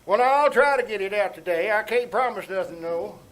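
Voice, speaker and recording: A man's voice: sits high, lively intonation, fairly quick, a bit bright, rough recording. A man playing a querulous old country storekeeper with a Southern accent and folksy, rustic speech, in the style of 1940s radio comedy. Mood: folksy, obliging. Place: small-town theater stage, before an audience. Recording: live stage with background noise.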